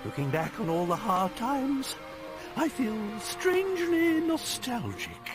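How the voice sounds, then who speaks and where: A man's voice: very high voice, huge pitch swings, slow pace, voice pushed up, full character voice. A man voicing a pompous, bossy quest companion from a video game, declaiming in a grandiose, theatrical way. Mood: bossy, triumphant. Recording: game music behind the voice.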